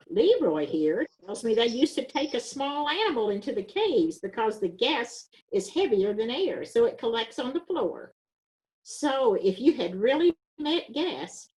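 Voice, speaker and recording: Shrewd doctor played by a woman, questioning, matter-of-fact, higher pitch, very animated intonation. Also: low-quality recording. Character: inquisitive, shrewd small-town doctor solving a murder. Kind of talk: amateur readers theater mystery.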